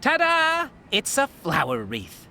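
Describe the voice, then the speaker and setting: A woman's voice: fairly high pitch, huge pitch swings, slow, sharp tone, slight background noise, full character voice. An actress playing an outgoing, playful young woman in an anime-style game, with a bubbly, teasing manner. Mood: excited, proud.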